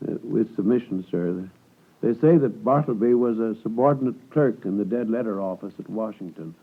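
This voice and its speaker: An actor playing a deferential, fussy law clerk in a 1950s radio drama, formal, hesitant and obsequious. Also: male voice, lowish voice, moderate pace, slightly rough. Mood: hesitant, regretful. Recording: tinny vintage 1950s radio broadcast.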